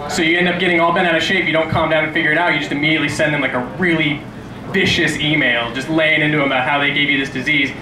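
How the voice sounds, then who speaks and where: A man's voice: a little high, steady tone, very fast, crowd noise. A man in his twenties doing a sarcastic, profane stand-up routine at an open mic, ranting at his own expense. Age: 20s. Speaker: stand-up comedian. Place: small dim club stage, indoors. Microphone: handheld stage microphone. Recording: echoey room with clear background noise.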